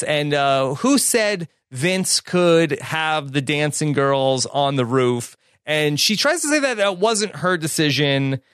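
A man's voice: fairly high pitch, fairly bright tone, smooth, dry recording, studio quality. A man hosting a casual recap podcast, chatting and passing questions to his co-hosts by name. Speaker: podcast host.

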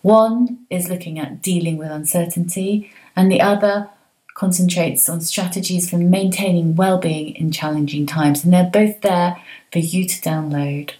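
A woman hosting a podcast, reading a clear, friendly intro and then chatting with her guest. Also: fairly low pitch, steady tone, moderate pace, dark tone, rough recording. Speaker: podcast host and change coach. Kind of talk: scripted podcast intro, then interview chat.

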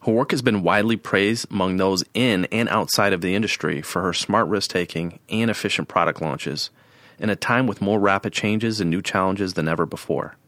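A man hosting a podcast interview, reading a scripted intro and then asking relaxed, friendly questions. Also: deep voice, moderate pace, no echo, studio quality. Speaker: podcast host.

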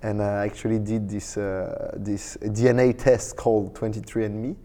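A man's voice: fairly low pitch, fairly even intonation, moderate pace, dark tone, long reverb tail. A man in his thirties from France with a French accent, a startup co-founder talking as a guest in an interview, hesitant and full of fillers. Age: 30s. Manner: hesitant, many fillers and restarts. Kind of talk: casual interview. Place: tech conference.

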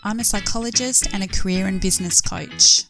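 A woman hosting an interview podcast, reading a measured introduction and then asking her guest friendly questions. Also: medium pitch, music in the background. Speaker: podcast host.

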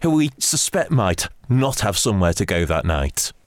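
A man's voice: lowish voice, very animated, normal speed, no echo, clean studio sound. A man interviewing in an informal, chatty, conversational style. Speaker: radio interviewer.

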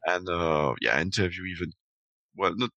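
Man, very low but bright voice, halting casual chat. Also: dry recording, dull audio. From Belgium. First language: French. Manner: hesitant, many fillers. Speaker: podcast guest. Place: at home in London at night.

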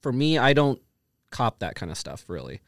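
A man's voice: mid-range voice, very animated, normal speed, vocal fry, a bit bright, no room sound, clean studio sound. A man co-hosting a podcast, chatting casually and agreeably with slang. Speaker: podcast co-host.

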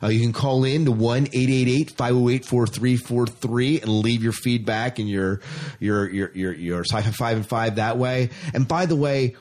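A man's voice: mid-range voice, normal speed, darkish tone. A man co-hosting a podcast in a casual, informal chatting style, sometimes reading out listener messages. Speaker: podcast co-host.

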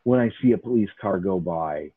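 A lower-voiced man from Chicago's north side talks through a podcast conversation in a rambling, emphatic way, circling back with restarts and "you know". His voice is a little husky. It sounds dry and tinny, like a phone line. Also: expressive, fairly quick, dry recording. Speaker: podcast host. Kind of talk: casual podcast chat.

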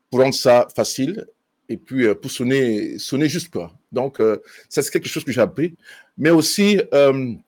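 Guy talking about learning bass, lots of ums and restarts, dry close mic. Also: darkish tone, dry recording. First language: French. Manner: hesitant, many restarts and fillers. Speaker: bass student. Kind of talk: testimonial interview.

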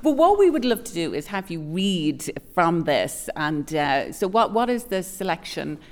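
A radio host with a very low voice for a woman, bantering with her guest in a light, playful way before she hands over to his reading. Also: big pitch swings, a little slow. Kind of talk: radio interview.

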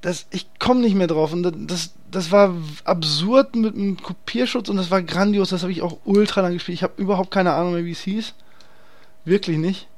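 A man's voice: high voice, moderate pace. A man hosting a casual podcast chat, talking in an enthusiastic, slangy and emphatic way. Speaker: podcast host.

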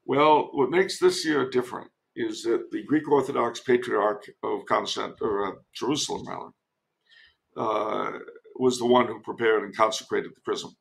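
A man's voice: on the low side, moderate pace, vocal fry, rough recording. A man giving casual historical commentary as a podcast guest, digressive and given to wry asides.

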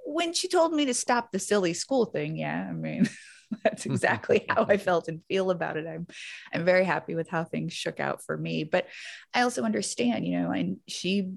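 A woman with a lowish voice chats easily as a podcast guest. She grew up between Louisiana and the Ozarks and tells her story in a loose, chatty way full of fillers. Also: animated, normal speed, vocal fry. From Louisiana, raised in Missouri Ozarks. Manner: chatty, uses many "like" fillers and laughs. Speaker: guest, doctor. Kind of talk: casual podcast conversation.

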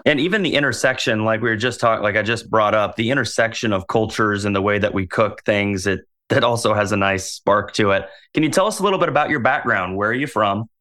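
A man's voice: fairly low pitch, fast, few pauses, pushed and bright. A male podcast host who reads a scripted intro and then chats with his guest in an upbeat way. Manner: upbeat, enthusiastic.

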